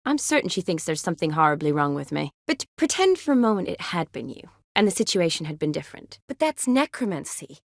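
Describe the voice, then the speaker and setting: An actress playing a kind, spirited young woman medic in a video game, teasing and wistful, speaking in an expressive, conversational way. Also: on the low side, brisk, creaky, hardly any pauses, dry recording, studio quality. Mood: disappointed, then earnest. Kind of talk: video game dialogue acting.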